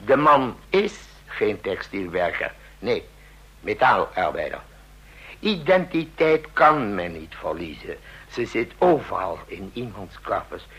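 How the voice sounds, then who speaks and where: A man's voice: fairly low pitch, sing-song, pushed and bright, husky, exaggerated voice. Een man die in een hoorspel een babbelzieke, scherpzinnige oude tandarts speelt, bedachtzaam en licht dreigend, vol gemompelde herhalingen. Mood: lecturing, amused. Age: older. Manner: deliberate, musing, with murmured repeats and rhetorical questions. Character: chatty old dentist, shrewd, musing, quietly menacing. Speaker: dentist. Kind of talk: radio drama acting.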